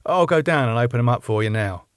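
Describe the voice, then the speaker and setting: A man's voice: mid-range voice, lively intonation, quick pace, clean tone, no room sound, studio quality, lightly acted. A man reading the part of a flustered young venue manager who hesitates and dodges questions. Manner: hesitant, full of uhs.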